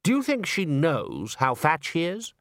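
A man performs a sardonic male manager in an audiobook. He delivers his words with cutting dryness. The pitch is a touch lifted and moves expressively. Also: wildly swooping pitch, unhurried, fairly bright tone, no echo, heavily acted. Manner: dry, cutting.

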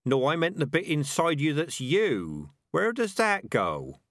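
A man's voice: a little high, big pitch swings, unhurried, a bit bright, no echo, studio quality, voice pushed up, heavily acted. A man voicing a naive, earnest rat in an audiobook, insistently asking big questions. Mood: earnest, questioning. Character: naive, earnest philosophical young rat pondering the afterlife.

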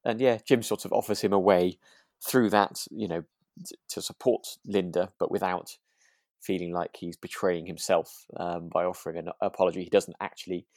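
A man co-hosting a podcast in casual discussion, speaking in a reflective, halting and hesitant way. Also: sits low, fairly even intonation, creaky, a little mellow, dry recording, clean studio sound. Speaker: podcast co-host.

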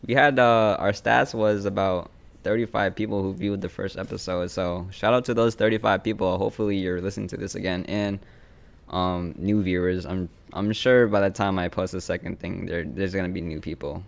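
A man's voice: sits low, fairly even intonation, moderate pace, vocal fry. A man in his twenties hosting a casual podcast from a living room, loose and slangy, laughing easily. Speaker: podcast host. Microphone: single podcast mic.

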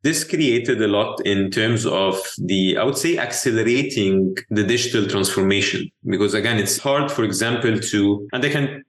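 A man in his forties from Egypt, answering interview questions in a measured way, thinking aloud with small restarts. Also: on the low side. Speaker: venture capital investor guest. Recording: roomy echo.

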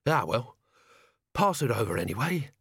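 A man's voice: medium pitch, big pitch swings, moderate pace, creaky, a bit bright, breathy edge, no echo, clean studio sound, full character voice. A man voicing a cheeky, earthy, loyal little servant with a matey, irrepressible, colloquial manner. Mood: offhand. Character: cheeky, loyal servant hidden among sacks, chirpy, earthy.

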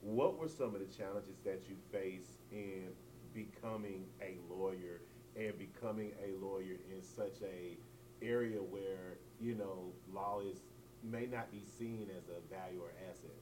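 Sounding distant from the microphone, a man with a very low voice puts questions as an interviewer. Clear noise and a hum sit behind him. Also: steady tone, measured pace.

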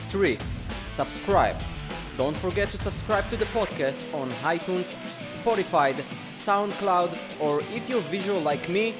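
A man reads an energetic promo outro for his podcast in a bright, upbeat way. His voice sits high for a man, and loud guitar music plays under it. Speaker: podcast host.

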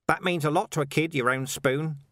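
A man's voice: on the high side, sing-song, normal speed, fairly bright tone, no room sound, studio quality, voice pushed up. A man voicing a scruffy, persistent, plain-spoken watchman in an audiobook. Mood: wistful, insistent. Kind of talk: audiobook character voice.

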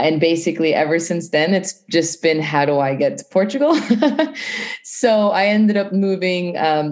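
A young woman with a strikingly low voice talks through her story as a panelist. She rambles and restarts, correcting herself mid-sentence and laughing along the way, in an easy, casual panel-talk manner. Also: a little mellow. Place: living in Portugal.

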